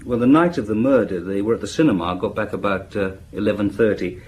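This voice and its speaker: An actor playing a diligent police inspector in a radio drama, reporting matter-of-factly in a conversational way. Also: male voice, fairly low pitch, darkish tone, natural voice, low-quality recording. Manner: hesitant pauses, matter-of-fact reporting. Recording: faint background noise.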